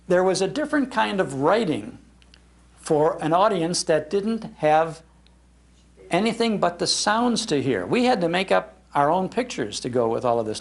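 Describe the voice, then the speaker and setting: Casting: older American male storyteller, slightly high voice, enthusiastic public speaker with nostalgic delivery. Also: gravelly. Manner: enthusiastic, acts out quoted lines. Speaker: speaker on old-time radio history. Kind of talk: nostalgic storytelling talk to an audience. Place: public library talk.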